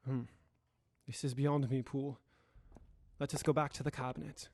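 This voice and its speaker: A man's voice: mid-range voice, level tone, normal speed, darkish tone, slight background noise, close to an everyday voice. A man playing a determined Victorian lawyer in an audio drama, speaking in a formal, declamatory, old-fashioned way. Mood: grave, puzzled.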